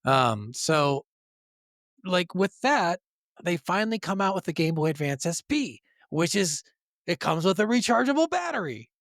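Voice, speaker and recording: Higher-voiced American man, casual and sweary. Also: normal speed, dry recording, clean studio sound. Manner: animated storytelling with frequent swearing. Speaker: podcast co-host. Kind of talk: casual chat.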